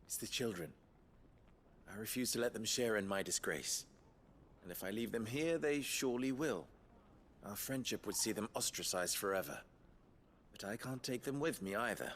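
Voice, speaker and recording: An actor playing an urgent, commanding and honorable town leader in a video game, speaking formally and earnestly. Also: male voice, lowish voice, animated, normal speed, slight background noise. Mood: solemn. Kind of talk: dramatic video game acting. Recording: loud music behind.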